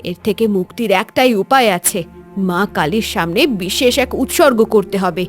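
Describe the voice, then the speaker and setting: A woman playing a scheming, cold stepmother in an animated horror tale, calculating and insinuating. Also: medium pitch, moderate pace, faint music behind, lightly acted. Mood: falsely concerned. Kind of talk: Bengali animated story voice acting.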